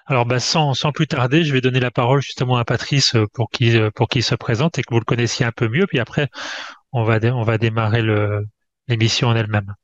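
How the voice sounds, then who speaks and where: A man hosting a conversational interview over a video call, speaking haltingly, with many hesitations and restarts. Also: mid-range voice, steady tone. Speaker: host. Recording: dull, dry video-call audio.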